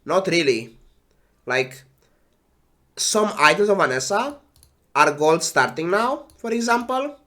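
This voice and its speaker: A man who is sick and congested, sighing and talking slowly while casually reading game patch notes on a stream. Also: high voice, sing-song, bright, forceful tone. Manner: slow, sighing, trailing off. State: congested with flu.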